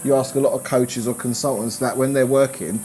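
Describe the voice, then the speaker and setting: A man, a business coach, talking in a relaxed conversation, rambling and restarting his sentences, growing animated when he makes a point. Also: medium pitch, fairly quick. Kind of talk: casual conversation.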